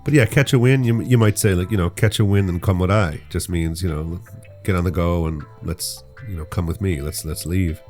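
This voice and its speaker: A man's voice: low voice, fairly quick, few pauses, darkish tone, faint music behind. A man co-hosting a casual podcast, joking and rambling as he explains island slang. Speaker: podcast co-host. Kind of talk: casual chat.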